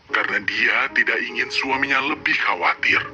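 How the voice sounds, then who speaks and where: A man's voice: medium pitch, normal speed. A man narrating a scripted fairy tale as a dramatic storyteller. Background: loud music.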